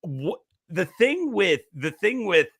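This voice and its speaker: A man co-hosting a horror movie podcast, talking things through in a chatty, explanatory way. His voice has a gravelly edge and a bright, forward tone. The sound is dry and close to the mic. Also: high voice, big pitch swings, slow, no echo. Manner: chatty and explanatory, many fillers and restarts. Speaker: podcast co-host. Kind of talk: casual chat about a horror movie.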